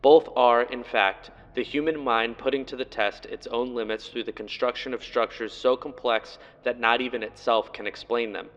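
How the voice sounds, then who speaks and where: Male video essayist, mid-pitched, deliberate and earnest, scripted analysis read with emphatic phrasing. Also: fairly even intonation, fairly bright tone, lo-fi sound.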